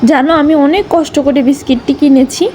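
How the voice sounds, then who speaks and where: A woman's voice: high voice, normal speed, mellow, slight background noise, lightly acted. A woman voicing an indignant, pleading old woman in a children's cartoon story. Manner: scolding, pleading.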